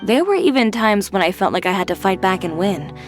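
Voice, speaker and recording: A woman's voice: medium pitch, big pitch swings, a little fast, close to an everyday voice. A woman voicing a poised, proud female tailor with a cool, dry, self-assured manner, in a game cutscene. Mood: sincere. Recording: game cutscene with faint music.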